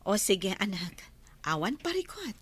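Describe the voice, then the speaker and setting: A woman voicing a patient, gentle mother in an audio drama who reassures her young child. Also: medium pitch, big pitch swings, a little slow, breathy edge.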